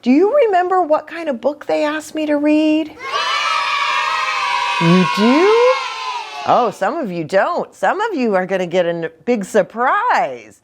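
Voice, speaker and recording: A woman's voice: high-pitched, very animated, a little slow, a loud crowd, very noisy. A woman hosting a children's storytime as a pastor, talking to young children with lots of questions and reading aloud with little asides. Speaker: children's ministry host and pastor.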